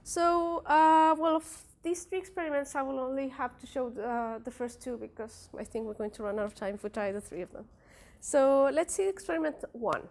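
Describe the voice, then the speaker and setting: A young woman whose first language is Spanish presents her research at an academic workshop. Her voice sits a little high, and she speaks English with a Spanish accent. She hesitates often, filling gaps with "uh" and "like" and restarting her sentences. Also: normal speed, few pauses, darkish tone. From Spanish-speaking country. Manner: hesitant, many fillers and restarts.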